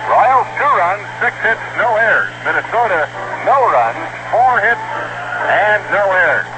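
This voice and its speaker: An American man calling baseball play-by-play live on the radio in short, clipped phrases with pauses. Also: sits high, very animated. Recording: old radio broadcast with loud noise.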